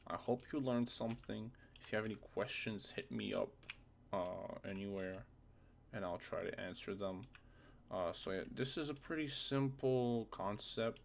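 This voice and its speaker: A man's voice: on the low side, moderate pace, vocal fry. A man presenting a casual screen-recorded game modding tutorial, informally thinking aloud with some hesitant repetition. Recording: faint noise, keyboard clicks.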